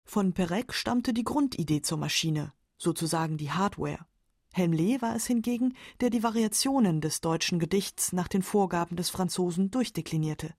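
A woman's voice: lowish voice, moderate pace, darkish tone, no room sound, studio quality. A woman reading scripted radio documentary narration.

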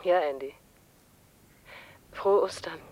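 A woman playing a police colleague in a radio drama, reporting in with a brisk, matter-of-fact manner. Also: lowish voice, dark tone. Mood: concerned. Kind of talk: German radio drama acting. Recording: dull, band-limited call.

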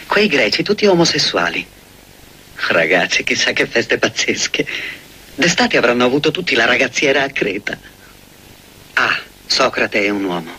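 A man's voice: medium pitch, bright, forceful tone, husky, exaggerated voice, rough recording. A man playing a neurotic, wisecracking philosopher in a hesitant, musing comic monologue, as in a film. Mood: wry musing. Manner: hesitant, musing, self-interrupting.

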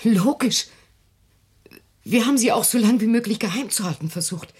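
A woman's voice: a little high, lively intonation, moderate pace, a little mellow. An actress playing an anxious, controlling older mother in a radio drama, speaking in a stern, agitated, evasive way. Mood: indignant. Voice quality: husky, breathy. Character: anxious, controlling elderly mother guarding her grown daughter. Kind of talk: German radio drama acting.

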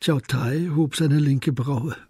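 A man narrating a scripted crime radio drama in a measured storytelling manner. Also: medium pitch, darkish tone, slightly rough.

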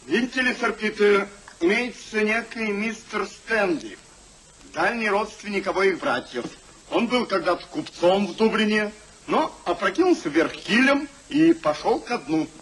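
An actor playing a bluff old sea captain type in a theatrical 1950s Russian radio play, with salty phrasing. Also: male voice, high-pitched, expressive, unhurried, fairly bright tone, slight background noise, rough recording. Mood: explaining. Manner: salty, nautical turns of phrase. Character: bluff old retainer with sailor's slang.

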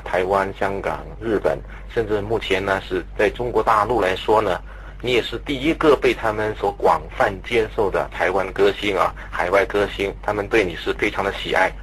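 A man's voice: low-pitched, normal speed, a bit bright, slightly rough, rough recording. A man conducting a conversational radio-style interview, putting long, polite questions.

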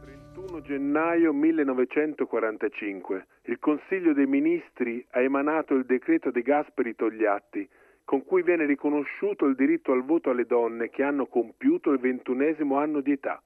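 A man's voice: mid-range voice, moderate pace, dry recording. A man reading a formal announcement as a radio newsreader, in 1940s style. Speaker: news announcer. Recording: tinny, band-limited old radio sound.

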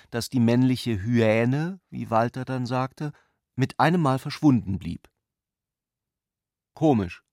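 A man narrating a literary radio play in measured, carefully phrased long sentences. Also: mid-range voice, no room sound, clean studio sound, natural voice.

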